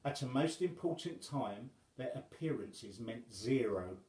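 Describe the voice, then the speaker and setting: A man reading a rhyming children's story aloud as an expressive storyteller. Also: mid-range voice, unhurried, reverberant.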